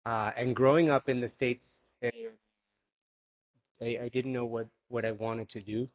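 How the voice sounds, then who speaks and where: A man in his forties, born in Argentina and raised in Miami, telling his life story as a guest, thoughtful and halting, often restarting his sentences. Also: mid-range voice, steady tone, highs cut off. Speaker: guest, yoga instructor and coach. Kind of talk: reflective personal storytelling.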